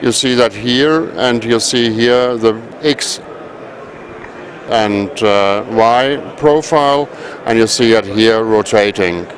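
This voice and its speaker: A man presents products at a trade show in a halting, explanatory manner. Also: medium pitch. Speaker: company representative presenting products. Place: large indoor trade fair hall. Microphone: handheld microphone at chest height. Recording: busy exhibition hall crowd noise. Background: crowd murmur.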